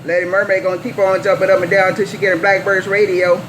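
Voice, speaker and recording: A woman's voice: mid-range voice, flat delivery, fast talker. An American woman chatting on CB radio in a playful, boastful way, chanting repeated phrases. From California, US. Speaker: CB radio operator. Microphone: CB radio microphone. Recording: noisy CB radio transmission.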